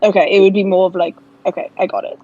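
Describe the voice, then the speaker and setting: A woman's voice: mid-range voice, fairly even intonation, quick pace, dark tone, highs cut off. A woman playing a tabletop game, talking casually and asking about the rules.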